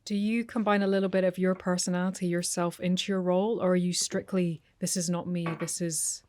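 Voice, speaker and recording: A woman's voice: lowish voice, little pitch movement, fairly quick, a little mellow, slight background noise. A woman co-hosting a podcast, eagerly cutting in with questions. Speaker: podcast co-host. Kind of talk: casual podcast interview.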